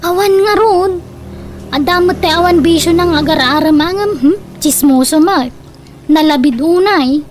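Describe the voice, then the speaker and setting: A woman's voice: very high-pitched, a little slow, noisy background. A woman playing a picky, complaining unmarried daughter in a comedic Ilocano radio drama.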